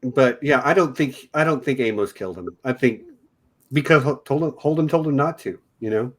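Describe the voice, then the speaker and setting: A man's voice: medium pitch, lively intonation, a little fast. A man from Texas with a Texan accent, hosting a casual discussion and often restarting his sentences. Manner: chatty, self-correcting. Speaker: podcast host.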